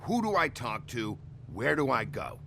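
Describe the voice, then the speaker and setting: An actor playing a gruff, weary, blunt sheriff in a cinematic video game, with a gravelly voice. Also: male voice, medium pitch, sing-song, normal speed, background noise. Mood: insistent, irritated. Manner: terse, blunt. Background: music.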